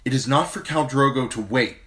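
A man voicing a fierce, commanding young queen, pitching his voice up and speaking firmly. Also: very animated, unhurried, a bit bright. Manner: raised pitch, firm and direct.

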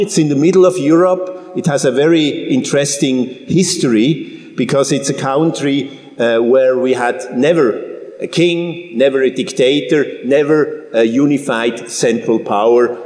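A man in his fifties from Switzerland giving a public talk, halting with frequent restarts and fillers. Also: medium pitch, darkish tone. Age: 50s. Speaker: guest speaker. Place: large church hall. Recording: roomy hall with long reverb.

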